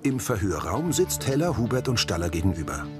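A man's voice: on the low side, sing-song, fairly quick, over music. A man narrating scenes in a scripted, present-tense audio description.

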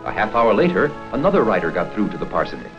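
A man reading scripted documentary narration for an educational history film in a formal, measured storytelling manner. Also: fairly low pitch, huge pitch swings. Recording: dull 16mm film soundtrack with music.

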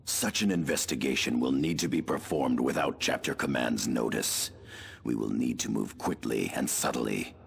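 A man's voice: very deep voice, moderate pace, gravelly. A man playing a huge, sombre Space Marine elder in a video game, with formal, cautious gravity. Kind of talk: video game character acting.

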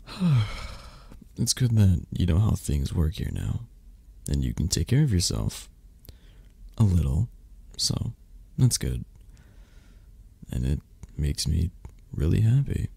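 A man acts out a drowsy, flirtatious mafia boss in a romantic ASMR roleplay. His very low voice is gravelly, and he takes his time, with hesitant pauses. The delivery swings expressively in pitch while staying intimate, possessive and tender. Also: very animated, dark tone, no echo, full character voice. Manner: slow, hesitant, murmured endearments with pauses. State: tired, drowsy. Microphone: close microphone.

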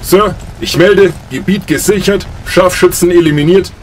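A man playing an eager, brash soldier in a science fiction audio drama, with brisk military delivery. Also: sits high, natural voice. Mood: dutiful. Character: eager, brash soldier reporting to his commander. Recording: noisy battlefield sound effects.

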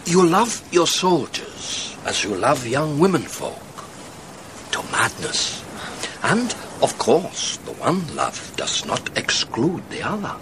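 An actor in a radio drama playing a passionate, restless, melancholy officer father, philosophizing in a dramatic, halting way. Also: a little high, sing-song, background noise.

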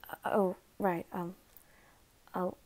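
Woman as flustered teenage anime boy, guarded yet caring, unhurried, soft dark tone, stammering, ASMR roleplay. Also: on the low side, little pitch movement. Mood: awkward, caring. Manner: halting, stammering, dropping into whispers.

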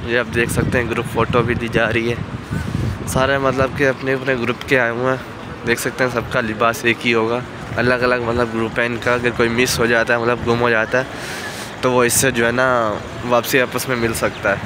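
Young man vlogging outdoors, flat-toned. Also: mid-range voice, a little fast, background noise. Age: young adult. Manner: warm and awed, repeating blessings. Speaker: travel vlogger. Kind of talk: casual walking vlog. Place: outdoors at a large mosque, then inside its hall. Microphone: handheld phone camera at arm's length.

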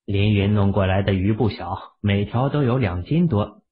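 A man narrating a serialized audio drama in an expressive storytelling manner. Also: on the low side, moderate pace. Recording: tinny phone-like audio.

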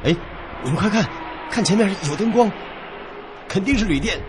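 A man's voice: high voice, animated, normal speed, over music. A man playing a decisive young traveller among friends in a radio drama.

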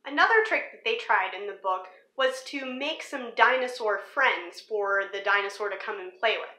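A young woman speaks to children in a high, animated voice. Her tone is bright and forward, with expressive emphasis on key words, in the manner of a teacher reading a picture book aloud. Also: normal speed. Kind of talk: storybook read-aloud and presentation for children. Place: small living room or room at home, indoors.

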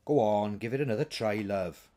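A man's voice: fairly low pitch, moderate pace, warm tone, lightly acted. A man voicing a practical, teasing witch woman in a storybook, with a blunt, wry, no-nonsense manner. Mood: coaxing. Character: brisk, practical, teasing witch woman, sharp-tongued friend.

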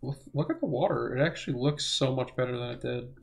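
A young man giving rambling, self-correcting let's play commentary, his voice hoarse as if he is losing it. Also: medium pitch, lively intonation, fast. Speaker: gaming channel host.

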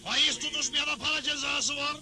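A man's voice: extremely high pitch, steady tone, fairly quick, bright, forceful tone, soft background music, exaggerated voice. A man playing a pompous, greedy old frontier judge in a dubbed cartoon, declaiming officiously. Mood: officious, brazen. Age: older.

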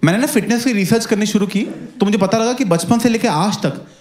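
A young man performing a stand-up comedy set into a handheld mic, telling stories in a playful, animated way. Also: high voice, brisk. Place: comedy stage with live audience. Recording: roomy club sound with loud crowd laughter.

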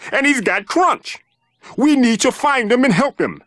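An actress playing an earnest, exasperated young heroic sidekick in a cartoon video game. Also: female voice, on the high side, animated, measured pace, no room sound, studio quality. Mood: urgent. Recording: loud music behind the voice.

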